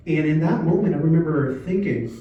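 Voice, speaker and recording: A man in his forties from Puerto Rico gives an informal presentation, telling a personal story in a conversational, halting way. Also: fairly high pitch, lively intonation, far from the mic. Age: 40s. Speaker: speaker at a company sales meeting. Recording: echoey room from afar, muffled, faint noise.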